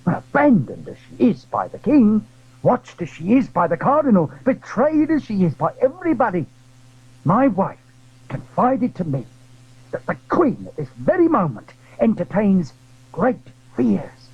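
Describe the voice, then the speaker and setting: Man acting an anxious, husky confiding landlord. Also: high-pitched, wildly swooping pitch, unhurried, dry recording, narrow, tinny audio, heavily acted. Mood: secretive, earnest. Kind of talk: dramatized classic adventure audio drama.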